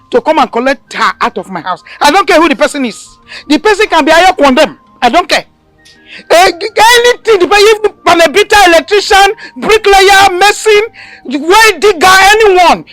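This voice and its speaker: A woman's voice: a little high, a bit bright. A woman playing a bossy, theatrical middle-aged mother in a comedy skit, scolding and dramatic. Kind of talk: comic skit acting.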